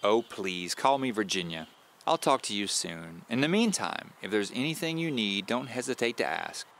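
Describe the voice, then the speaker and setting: A man's voice: lowish voice, lively intonation, normal speed, a bit bright, pitched above the performer's own voice. A man voicing a kindly, grandmotherly widow with a folksy, teasing, chatty manner in an audio drama. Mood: warm, eager.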